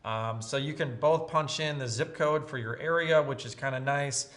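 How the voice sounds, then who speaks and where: A man's voice: mid-range voice, normal speed, a bit bright. A man in his thirties giving a casual product comparison, seated at a table in a room. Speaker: product reviewer. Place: room with brick wall, at a table.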